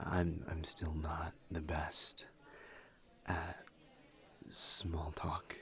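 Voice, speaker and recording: A man playing a shy, polite incubus student in an intimate audio roleplay, speaking softly and haltingly. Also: very deep voice, monotone, exaggerated voice. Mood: awkward, polite. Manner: hesitant, halting, awkward small talk. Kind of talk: audio roleplay acting, intimate one-sided conversation.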